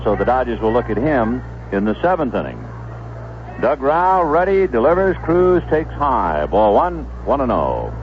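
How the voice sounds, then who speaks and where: A male baseball announcer calls the game in measured, descriptive phrases. His pitch rises and falls freely over a muffled old radio broadcast with faint noise behind him. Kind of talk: live radio sports commentary. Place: stadium broadcast booth.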